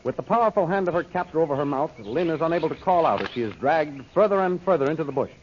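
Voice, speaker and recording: A male narrator of an old-time radio serial speaks in a fairly high voice. His delivery is scripted and dramatic. The recording is muffled, with faint background noise. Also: expressive, quick pace, darkish tone.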